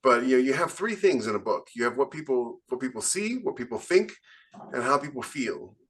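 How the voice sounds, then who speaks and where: A man's voice: mid-range voice, very animated, fairly quick, fairly bright tone, husky. A man speaking emphatically and with firm opinions in a casual panel chat about writing, as a podcast co-host. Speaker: podcast co-host and fiction author.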